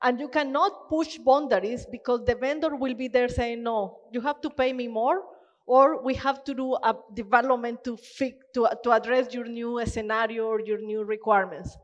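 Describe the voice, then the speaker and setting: A woman's voice: on the high side, fairly even intonation, moderate pace, dull audio. A woman from Colombia with an accent, giving an earnest, passionate conference presentation with frequent fillers. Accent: Colombian-Canadian Spanish-tinged English. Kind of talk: keynote presentation. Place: conference hall. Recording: long hall reverb.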